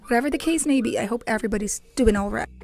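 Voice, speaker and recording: A young woman hosting a reaction video, talking casually to the camera in a hoarse voice, playful and rambling, into a handheld microphone in a small dark room. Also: a little high, very fast.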